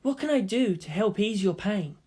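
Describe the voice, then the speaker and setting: A narrator voicing a quiet, earnest, submissive teenage boy with a polite, restrained manner, in an audio story. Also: mid-range voice, expressive, moderate pace, husky, pitched above the performer's own voice.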